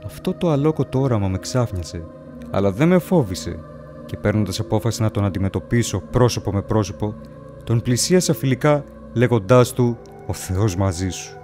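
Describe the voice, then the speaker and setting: A man's voice: fairly low pitch, lively intonation, mellow, soft background music, close to an everyday voice. A man playing the bewildered, awestruck spirit of an ancient man, telling a horror tale solemnly and theatrically. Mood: uneasy, watchful, then pleading. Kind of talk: dramatic first-person horror story narration.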